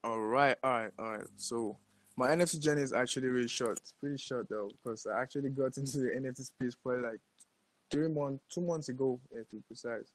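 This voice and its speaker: Cameroonian man, mid-pitched, informal interview guest with slangy, brotherly phrasing. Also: quick pace, dry recording, poor audio. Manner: informal, slangy, frequently says bro and man. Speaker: guest, digital artist. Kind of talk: casual interview chat.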